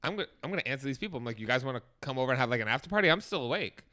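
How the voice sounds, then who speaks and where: A young man co-hosting a podcast, telling stories in an animated, laughing way. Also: medium pitch, very fast. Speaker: podcast co-host. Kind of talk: casual chat.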